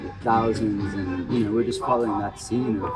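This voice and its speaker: A man's voice: on the low side, fairly even intonation, moderate pace. A man from Peru, a band member, chatting loosely in an interview outdoors on the steps of a party, with slang and plenty of swearing. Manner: loose, profane, streetwise slang. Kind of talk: casual chat. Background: party music.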